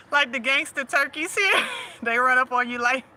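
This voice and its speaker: A woman's voice: high voice, bright, forceful tone. A woman with a Southern US accent hosting a casual chat, laughing often and teasing her audience. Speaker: host.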